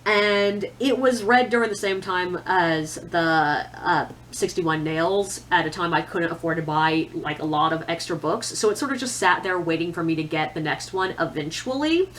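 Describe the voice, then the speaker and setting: A woman's voice: on the low side, moderate pace, few pauses, a bit bright, a little hiss or hum. A woman giving a casual, chatty monologue as a book reviewer, opinionated and conversational, with self-deprecating asides.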